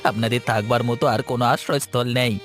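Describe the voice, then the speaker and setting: Poor cartoon villager voiced by a man, rapid urgent pleading, mid-range voice, light music behind. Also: mid-range voice, steady tone, fairly bright tone, natural voice. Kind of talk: Bengali animated fairy tale dubbing.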